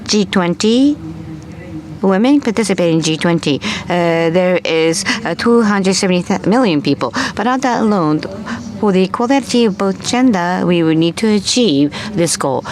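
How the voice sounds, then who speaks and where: A woman moderating a panel session and then interpreting a speaker, halting with frequent fillers and restarts. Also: on the low side, lively intonation, darkish tone, a little hiss or hum. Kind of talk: conference moderation and live interpretation. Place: conference hall.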